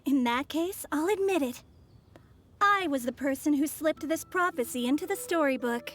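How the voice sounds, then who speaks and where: A sly mysterious foreign traveler. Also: female voice, high-pitched, expressive, normal speed. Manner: smooth, teasing, composed. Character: poised, mysterious woman traveler from abroad.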